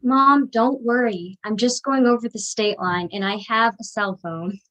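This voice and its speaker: An actress playing a young college-bound daughter, wounded but resolute, in a staged play reading. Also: female voice, on the high side, measured pace, fairly bright tone, poor audio. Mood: reassuring, knowing.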